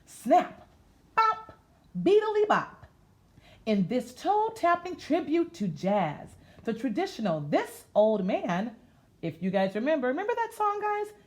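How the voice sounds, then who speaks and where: A woman with a slightly high voice leads a children's story time. She reads slowly with big swoops in pitch, in a sing-song, expressive style that speaks straight to young listeners. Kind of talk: interactive read-aloud to children, part sung.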